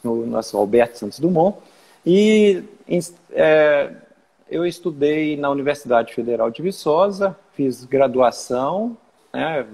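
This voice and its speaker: A man in his fifties from Minas Gerais, a plant pathology researcher answering interview questions in a measured, hesitant way. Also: a little high, expressive, a little mellow, slightly rough, big-room sound. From Minas Gerais, Brazil. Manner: measured, hesitant, many "é" and "né" fillers. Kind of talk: interview answers over video call.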